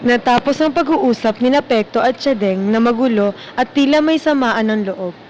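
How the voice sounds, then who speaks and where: A woman with a high voice and a Filipino accent narrates a radio drama from a script. Faint noise runs behind her. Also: normal speed, a little mellow, highs cut off.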